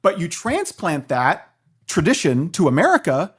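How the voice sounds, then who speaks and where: Conversational man explaining, mid-to-high voice. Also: normal speed, husky. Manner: animated storytelling, often checks in with "right?". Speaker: guest, philosophy professor and author.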